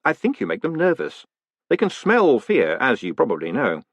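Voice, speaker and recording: A man as a polite, flustered, lively postmaster. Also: medium pitch, moderate pace, husky, dry recording, muffled recording. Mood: polite, wry. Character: quick-witted, polite male new postmaster, slightly flustered. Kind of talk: audiobook character voice.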